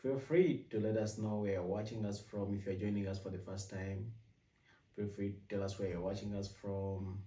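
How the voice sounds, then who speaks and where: A man's voice: on the low side, little pitch movement, normal speed, warm tone. A man from Uganda with a Ugandan accent, talking casually to his viewers and often restarting his sentences. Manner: rambling with frequent restarts. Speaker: vlogger host. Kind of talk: casual personal vlog. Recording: echoey room, mic at a distance.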